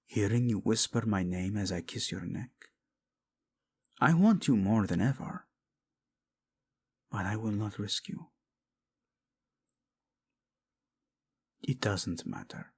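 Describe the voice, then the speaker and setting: Man acting a slow, husky, devoted prince. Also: lowish voice, lively intonation, a little mellow, heavily acted. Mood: tender, restrained. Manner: intense, pleading, tender, often dropping to a whisper. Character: devoted, protective, guilt-ridden prince in love. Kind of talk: romantic audio roleplay acting.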